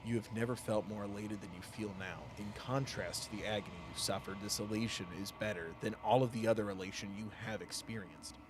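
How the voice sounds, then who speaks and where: A man's voice: on the low side, steady tone, brisk, hardly any pauses, background noise. A man doing live gameplay commentary, thinking aloud playfully and reading text from the game. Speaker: game streamer. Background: game music.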